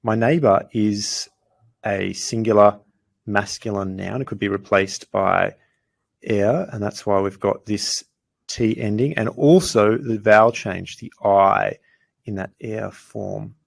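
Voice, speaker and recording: A man teaching grammar as a language lesson, patiently explaining example sentences and slowing down to say the foreign phrases clearly. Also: fairly low pitch.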